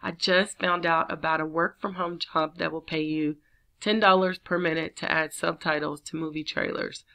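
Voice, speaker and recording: A woman with a slightly raspy voice from a cold, chatting casually as she walks viewers through a job listing. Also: deep voice, fairly even intonation, moderate pace, bright, forceful tone. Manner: chatty, repetitive, encouraging viewers. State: under the weather, slightly raspy. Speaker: work-from-home job channel host. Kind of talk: casual screen-share walkthrough.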